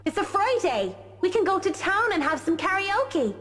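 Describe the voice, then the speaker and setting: A woman's voice: extremely high pitch, sing-song, normal speed, fairly bright tone, heavily acted. A woman voicing a timid teenage schoolgirl who talks in an anxious, coaxing way. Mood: pleading. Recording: reverberant game audio with music.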